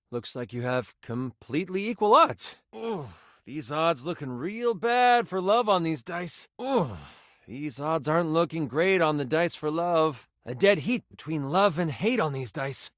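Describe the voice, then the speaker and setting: An actor playing an excitable, theatrical nerdy game master in a video game, moving between grand narration and casual asides. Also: male voice, on the high side, no echo, clean studio sound. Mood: casual, explanatory. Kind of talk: video game character voice lines, dramatic tabletop narration.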